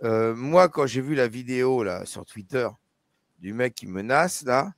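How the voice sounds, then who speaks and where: A man's voice: medium pitch, a little slow, vocal fry, no echo. A man hosting a casual live discussion, talking with many hesitations and restarts. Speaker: YouTube live host.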